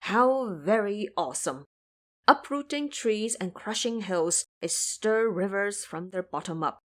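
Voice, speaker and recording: A woman's voice: mid-range voice, animated, unhurried, fairly bright tone. A woman narrating a scripted adventure story in a dramatic style.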